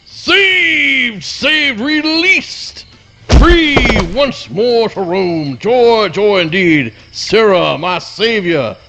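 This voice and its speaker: A man's voice: high-pitched, expressive, very slow, pushed and bright, slight background noise, heavily acted. A man voicing an overeager, fawning hound dog who talks in florid, grandiose repetitions in a live radio play. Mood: overjoyed. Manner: florid, grandiose, repeats himself.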